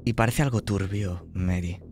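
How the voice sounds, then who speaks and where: A man's voice: sits low, moderate pace, a little mellow, soft background music. A man with an accent from Spain, narrating a suspenseful tabletop roleplaying game as game master. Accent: Spanish from Spain.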